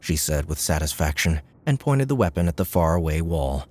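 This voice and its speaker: Deep-voiced male audiobook narrator. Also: sing-song, brisk, a little mellow. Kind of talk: scripted fiction narration.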